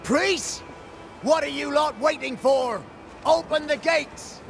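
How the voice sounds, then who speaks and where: An actor playing a gruff, impatient male fort guard in a video game. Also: very high-pitched, slow, faint music behind, heavily acted. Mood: urgent shouting. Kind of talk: dramatic video game acting.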